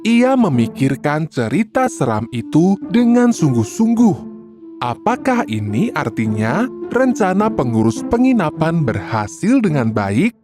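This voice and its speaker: Slow, lively children's storyteller. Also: mid-range voice, over music. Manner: expressive, deliberate. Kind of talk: scripted children's story narration.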